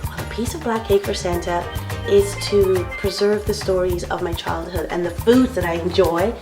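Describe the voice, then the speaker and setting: A woman from the Caribbean talking casually as a guest author on a children's show, animated and playful. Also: fairly low pitch, moderate pace, reverberant. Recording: music playing behind the voice. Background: background instrumental music.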